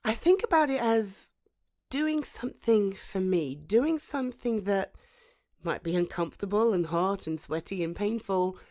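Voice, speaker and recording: A British woman playing a protective, supportive female friend in a conversational ASMR roleplay, soothing and encouraging, with thoughtful pauses. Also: mid-range voice, lively intonation, darkish tone, lightly acted. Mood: earnest, encouraging. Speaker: voice actor in an audio roleplay. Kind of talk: ASMR roleplay, conversational one-sided dialogue.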